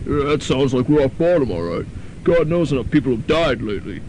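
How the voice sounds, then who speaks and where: A man's voice: medium pitch, very animated, brisk, noisy background, close to an everyday voice. A young man playing a blunt, offhand college jock in a student radio drama.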